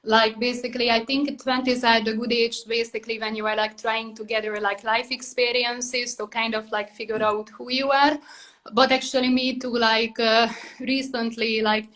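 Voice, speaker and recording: A woman in her thirties with a Hungarian accent hosts a relaxed interview. Her voice sits fairly high but barely moves in pitch, and her sentences drift along, padded with "like" and "basically". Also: moderate pace. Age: 30s. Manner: many "like" and "basically" fillers, loose phrasing. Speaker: podcast host. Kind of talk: casual interview chat.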